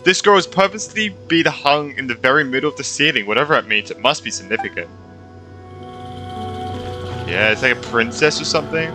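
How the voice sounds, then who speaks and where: A man's voice: mid-range voice, lively intonation, fairly quick, pauses often, over music. A young man giving casual, reactive gaming commentary and reading game dialogue aloud in an informal way. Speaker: gaming streamer.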